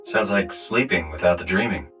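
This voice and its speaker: Male actor as distressed uprooted man, North American accent, low pitch, brooding, naturalistic game acting, music behind. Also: normal speed, recorded from a distance, natural voice. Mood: curious, weary. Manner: conversational, swearing, brooding. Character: weary, distressed man uprooted into a robot body.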